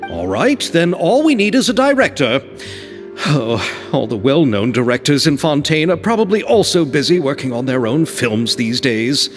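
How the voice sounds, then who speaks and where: An actor playing a timid, earnest young filmmaker in a video game, hesitant, stammering and effusively grateful. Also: male voice, mid-range voice, very animated, moderate pace, long reverb tail, close to an everyday voice. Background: game music.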